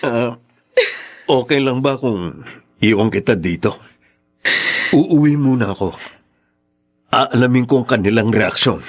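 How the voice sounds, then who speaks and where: An actor playing a concerned, conspiring confidant in a radio drama, with a solicitous manner. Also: male voice, medium pitch, animated, measured pace, pauses often.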